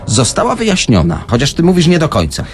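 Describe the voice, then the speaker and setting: A man's voice: on the high side, wildly swooping pitch, fairly quick, husky. A man hosting a radio crime talk, asking probing questions and telling the story with some drama. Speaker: radio host. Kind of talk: conversational radio interviewing.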